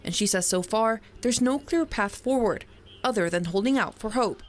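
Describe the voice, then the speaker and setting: A woman's voice: mid-range voice, lively intonation, moderate pace. A woman narrating a scripted television news report as a reporter.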